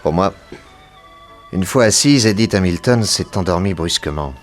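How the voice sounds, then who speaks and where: An actor playing a composed, authoritative detective in a French radio serial, speaking in a brisk, assured way. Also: male voice, deep voice, expressive, faint music behind. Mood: methodical, deductive. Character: famous detective, male, composed, authoritative, methodical.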